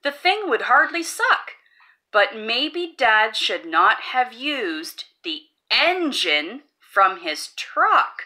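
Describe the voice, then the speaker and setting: A woman's voice: on the high side, sing-song, takes it slowly, bright, forceful tone. Sing-song woman reading a picture book. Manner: sing-song, playful rhyming delivery. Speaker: storybook read-aloud host. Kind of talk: children's picture book read-aloud.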